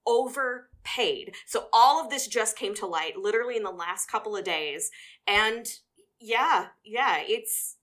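Bright-voiced woman co-hosting a chatty show. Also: a little high, expressive, unhurried. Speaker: podcast co-host. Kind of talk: casual panel chat and news reading.

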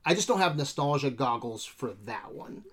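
A man's voice: medium pitch, expressive, normal speed, a bit bright. A man co-hosting a podcast in casual chat, blunt and sweary, dropping "dude" and "man" often. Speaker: podcast co-host.

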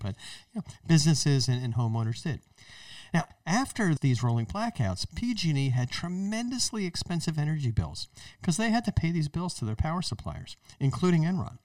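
An American man talks through the issues as a podcast host, with a very lively, swooping delivery at a medium pitch. He is conversational and emphatic, often putting questions to the listener. The sound is dry and a little off the microphone. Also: no room sound, distant mic. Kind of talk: explanatory talk-show monologue on current affairs.

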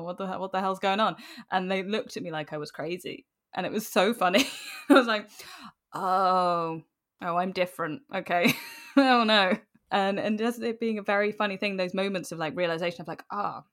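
A British woman talking casually as an interview guest, animated and self-deprecating, often acting out bits of imagined dialogue. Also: on the low side. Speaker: podcast guest.

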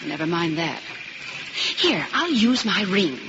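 A woman's voice: lowish voice, big pitch swings, slow. An actress playing a plucky, motherly married woman in an old-time radio drama. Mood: determined. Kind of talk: 1950s radio drama acting. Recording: hissy, muffled old radio recording.

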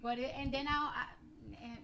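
A woman's voice: high-pitched, steady tone, moderate pace, heavy background noise. A woman with a New York accent, a Spanish native speaker, chatting bluntly as a guest in slangy talk that trails off into restarts. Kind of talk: casual chat.

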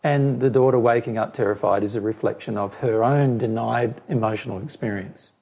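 Guy going hoarse, dark husky voice, talking it through, lots of restarts. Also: mid-range voice. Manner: measured, repeats and restarts phrases. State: losing his voice, hoarse. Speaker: spiritual teacher answering a question. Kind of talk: explaining to a listener.

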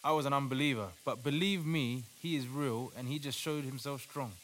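A man's voice: medium pitch, fairly quick, fairly bright tone, close to an everyday voice. An actor playing an earnest, devout male doctor who takes charge in an audio play. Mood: reverent. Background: music.

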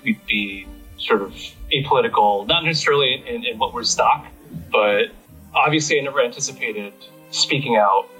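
Man, American accent, bright edgy voice, lowish pitch, halting reflective interview talk, faint music behind. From United States. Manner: halting, searching for words. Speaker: interviewee, independent bookstore owner. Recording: slightly muffled, music behind.

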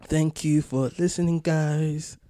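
A man's voice: fairly high pitch, fairly even intonation, slow, warm tone, no echo, clean studio sound. A man hosting a casual group podcast, bantering in slang. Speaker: podcast host.

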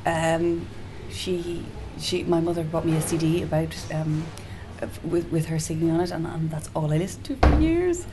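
A soft-spoken woman being interviewed as a singer-songwriter, talking in a casual, hesitant way with frequent restarts. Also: sits low, normal speed, hardly any pauses. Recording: noticeable background hiss.

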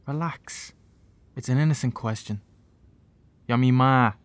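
An actor playing a reserved young student son in an audio drama, terse and understated, gently probing. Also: male voice, medium pitch, unhurried, dry recording, lightly acted. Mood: subdued, probing. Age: young adult. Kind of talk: naturalistic audio drama acting.

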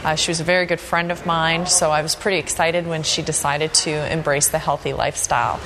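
A woman's voice: low-pitched, steady tone, fairly quick, background noise. A woman with a Canadian accent, a gym trainer, talking in a documentary interview.

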